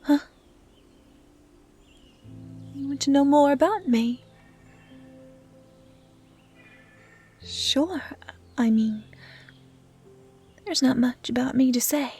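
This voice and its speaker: An actress plays a young female dryad in an intimate audio roleplay. Her voice is fairly high yet mellow and hushed, often close to a whisper. She is gentle, curious and caring, with music playing behind her. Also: a little slow. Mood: shy, wistful.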